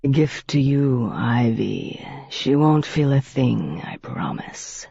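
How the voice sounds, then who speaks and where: A narrator voicing an ancient male vampire master who speaks slowly, coldly and in a low hush. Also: sing-song, gravelly, husky, dry recording, exaggerated voice. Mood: false tenderness. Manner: slow, low, cold and softly whispered. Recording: dull dry audiobook recording.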